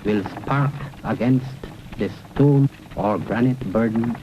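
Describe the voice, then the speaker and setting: On a dull, noisy old film soundtrack, a man recites poetry as documentary narration. His delivery is slow and solemn, each phrase measured and dramatic, in a mid-pitched voice.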